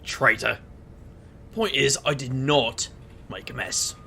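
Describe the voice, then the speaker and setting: A man acts a roguish supernatural leader who teases and protects his crew. The voice is forceful and creaky, swinging freely in pitch. A faint rumbling noise sits under this audio drama performance. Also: sing-song, slow, heavily acted. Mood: mock offended. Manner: teasing, protective.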